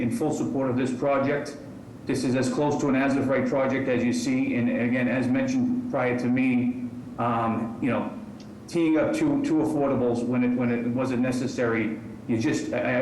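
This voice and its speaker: A man giving casual, halting public comment at a hearing. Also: medium pitch, darkish tone, a little hiss or hum. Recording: echoey call audio.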